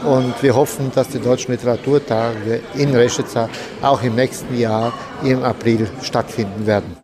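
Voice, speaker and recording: A man speaking as event organizer in an interview, steady with occasional fillers. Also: mid-range voice, moderate pace, a crowd in the background. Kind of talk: interview answer.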